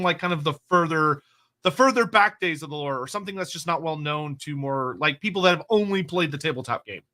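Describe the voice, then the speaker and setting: A young adult man co-hosting a casual live stream, reading viewer questions aloud and rambling excitably about game lore, with casual swearing. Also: on the high side.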